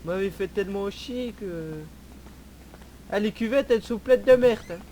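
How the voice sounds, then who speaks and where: A man's voice: sits high, normal speed, dark tone, slight background noise, lightly acted, poor audio. A man improvising a cheeky, whining troublemaker under arrest in online game roleplay, speaking with mock politeness.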